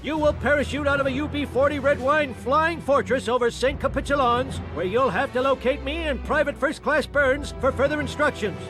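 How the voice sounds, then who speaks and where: A man's voice: extremely high pitch, fairly quick, few pauses. A man voicing an old, grandiose and sentimental wartime commander in a cartoon game. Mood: commanding. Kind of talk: cartoon war-briefing parody acting. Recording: game music behind the voice.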